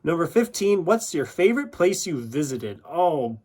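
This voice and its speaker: A man's voice: a little high, big pitch swings, moderate pace, darkish tone, husky. A man from Iowa, chatting informally as a vlog host with self-deprecating asides. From United States, Iowa. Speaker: YouTube book channel host. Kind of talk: casual chatty vlog, answering questions.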